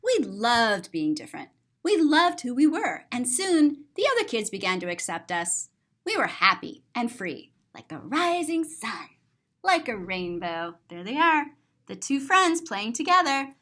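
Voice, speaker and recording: A woman's voice: a little high, sing-song, a little slow, a bit bright. A woman reading a children's picture book aloud as a story-time host, expressively and with simple explanations for young listeners.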